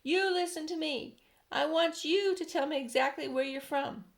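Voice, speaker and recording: Woman as excitable storybook police chief, high and loud. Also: moderate pace. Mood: commanding. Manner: excited, shouting, high-pitched. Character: panicky shouting male chief of police.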